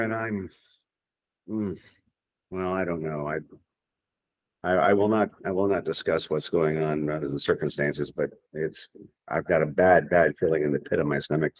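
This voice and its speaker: A man's voice: deep voice, poor audio. A man from Tennessee, a podcast guest talking in a rambling, hesitant way, full of restarts and fillers. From Tennessee, USA. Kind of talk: casual podcast chat.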